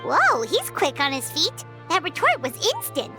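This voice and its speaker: A woman voicing a tiny, childlike fairy companion in a video game, chatty, excitable and cheeky. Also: sits high, moderate pace, music in the background. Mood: curious, surprised. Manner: excitable, teasing, refers to self in third person. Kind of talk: video game character acting.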